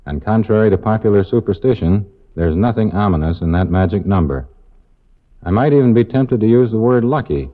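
A man giving a formal, scripted award presentation on 1950s radio as a magazine editor. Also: sits low. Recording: thin, phone-like old radio recording.

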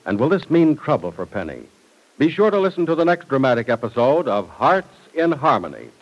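A man's voice: medium pitch, low-quality recording. A male announcer reading 1940s radio copy in a polished, emphatic selling manner on an old broadcast recording.

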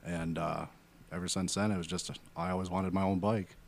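A man's voice: deep voice, steady tone, normal speed, creaky, darkish tone. A thirty-year-old man from Wisconsin chatting casually as a podcast guest, giving short, laid-back answers. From Wisconsin, United States. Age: 30s. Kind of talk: casual chat.

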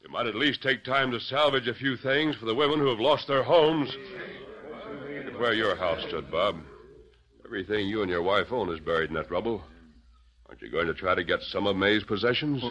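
A commanding masked hero. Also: male voice, fairly low pitch, animated, brisk, a bit bright, dull audio. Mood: pleading. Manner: firm, earnest, persuasive. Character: heroic masked male rider, calm commanding. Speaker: radio drama actor. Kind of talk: 1940s radio acting.

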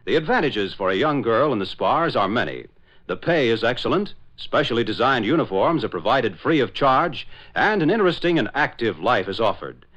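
A man's voice: mid-range voice, sing-song, moderate pace, a bit bright. A man narrating as a 1940s radio announcer in a dramatic, declamatory style, on a dull old recording.

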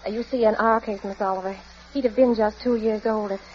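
A woman's voice: medium pitch, fairly even intonation, brisk, close to an everyday voice. A woman playing a tender, yearning young wife in a 1940s American radio drama, hesitant and earnest. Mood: pleading. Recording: muffled old radio broadcast recording.

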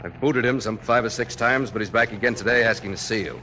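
An actor playing a brusque, impatient colonel and aide, clipped and official, in a 1940s American radio drama. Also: male voice, on the low side, quick pace, pushed and bright. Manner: clipped, gruffly dismissive, official. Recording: noisy vintage 1940s radio recording.

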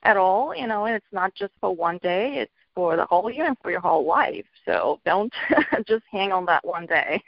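A middle-aged woman from Bulgaria, a children's author being interviewed, talking in a hesitant way with many ums and soft laughs. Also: medium pitch, lively intonation, normal speed, creaky, dry recording. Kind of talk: casual interview talk. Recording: dull lo-fi audio.

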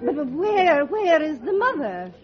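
An actress playing a prim, proper wealthy matron in a 1940s radio comedy. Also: female voice, high voice, sing-song, slow, muffled recording, a faint crowd, full character voice. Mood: sympathetic.

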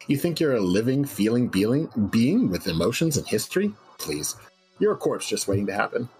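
A man's voice: mid-range voice, very animated, normal speed, a little mellow, slightly rough, faint music behind, full character voice. A man playing a bleakly nihilistic talk-show psychologist in a dramatic reading, lecturing a caller in a blunt, condescending manner.

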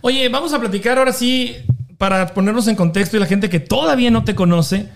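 A man hosting a podcast, chatting casually and warmly with slang and many fillers. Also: sits high, brisk, vocal fry. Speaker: podcast host. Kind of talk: casual interview chat.

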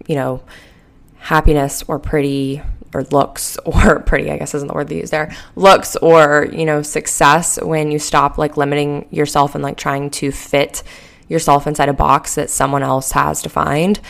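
Hoarse, sleepy young woman rambling. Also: low-pitched. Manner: rambling, heavy use of "like" and "um". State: hoarse and sleepy from a late night. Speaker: podcast host. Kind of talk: casual solo podcast talk.

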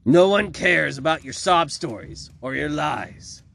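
A man acts out a stern town mayor in an audio tale. He is defiant and menacing, speaking slowly and firmly over background music. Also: mid-range voice, animated, creaky, a bit bright, a little hiss or hum, heavily acted. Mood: stern shouting.

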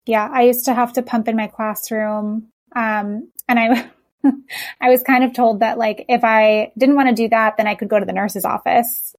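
Woman podcast host, chatty and anecdotal, vocal fry, dry close sound. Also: on the high side, fairly even intonation, normal speed, dry recording. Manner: chatty, warm agreement, personal anecdotes. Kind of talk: casual podcast interview.

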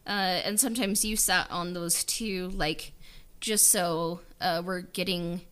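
Woman just chatting, slowish and kinda rambly, bright clear-cut tone, says like and you know a lot. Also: on the low side, steady tone. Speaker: podcast guest and audio drama writer-director. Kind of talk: casual unscripted chat.

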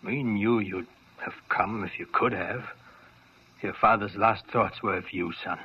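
A man playing a gentle, consoling elderly family doctor in an old radio drama. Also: lowish voice, a little slow, husky. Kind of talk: 1940s radio drama acting. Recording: dull lo-fi old radio recording with faint hiss.

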